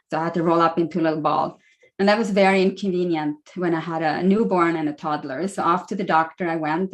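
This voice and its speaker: A woman in her forties with a Swedish accent, chatting through a slide talk as a guest speaker on a video call. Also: lowish voice. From Sweden. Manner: friendly, chatty, many fillers.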